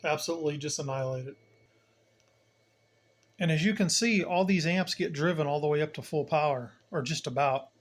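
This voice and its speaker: A man's voice: a little high, brisk, long pauses. A man giving a casual hands-on demonstration as a hobbyist host, plain-spoken and colloquial, with emphatic turns of phrase.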